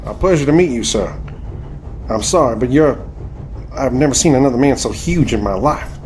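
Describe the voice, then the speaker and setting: A man's voice: mid-range voice, expressive, moderate pace, darkish tone, lightly acted. Man playing a polite, bewildered newcomer, faint noise. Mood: polite, uneasy. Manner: courteous, hesitant, old-fashioned formality. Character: polite, bewildered nineteenth-century American man, naive newcomer. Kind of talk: audio drama acting.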